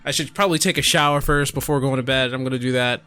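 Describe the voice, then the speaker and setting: A man's voice: medium pitch, steady tone, fast talker, sharp tone, clear, resonant tone, faint music behind. A tipsy man giving casual, rambling reaction commentary as a streamer, exclaiming and addressing viewers directly. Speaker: streamer and gaming video host.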